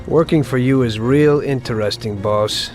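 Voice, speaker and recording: A man's voice: medium pitch, normal speed, darkish tone, soft background music, natural voice. An actor playing a loyal, level-headed young mob henchman, deferential and understated, in a game cutscene. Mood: dutiful. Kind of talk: video game cutscene acting.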